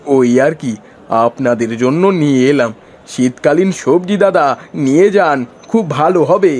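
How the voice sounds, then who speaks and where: A man's voice: on the high side, a little slow, darkish tone. A man playing a luckless, lamenting village vegetable seller and husband in a cartoon. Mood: pleading. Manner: lamenting, pleading.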